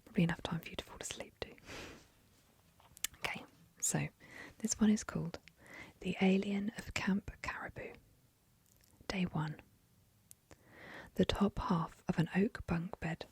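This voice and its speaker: A woman whispering softly into a close microphone, reading a short story aloud as ASMR. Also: fairly low pitch, steady tone, a little slow, long pauses, husky, dry recording.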